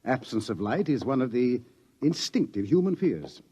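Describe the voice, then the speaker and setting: An actor playing an authoritative middle-aged scientist who lectures deliberately and ominously about coming doom, in an old radio drama style. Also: male voice, medium pitch, big pitch swings, warm tone, slight background noise, lightly acted. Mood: darkly wry. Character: authoritative older male scientist explaining impending doom. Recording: dull lo-fi old radio recording.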